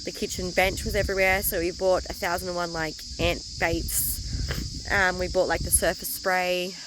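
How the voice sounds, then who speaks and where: A woman's voice: fairly low pitch, little pitch movement, moderate pace, background noise. A woman with an Australian accent chatting frankly to camera about her caravan trip, rambling a little and losing her voice from illness. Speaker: travel vlogger. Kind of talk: casual chat to camera.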